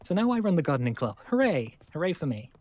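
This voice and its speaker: A man's voice: a little high, very animated, fast, darkish tone. Guy playing awkward nice school survivor, stutters and rambles, apologizes, game roleplay, bit of background noise. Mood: chatty. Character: polite, awkward male school survivor, eager host.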